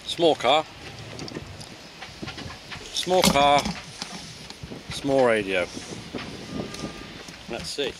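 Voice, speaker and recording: A man's voice: mid-range voice, lively intonation, very slow, pauses often, noisy background. A man presenting a casual gadget field test in a hesitant, off-the-cuff way.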